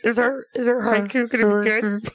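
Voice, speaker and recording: A woman's voice: on the high side, fairly even intonation, moderate pace. A woman co-hosting a podcast, chatting casually with friends, teasing and laughing often. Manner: giggly, teasing. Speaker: podcast co-host. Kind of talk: casual chat among friends.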